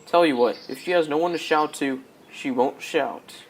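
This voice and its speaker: A young man playing an easygoing, teasing husband and father in a high school radio drama. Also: moderate pace, very noisy, lightly acted. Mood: wry.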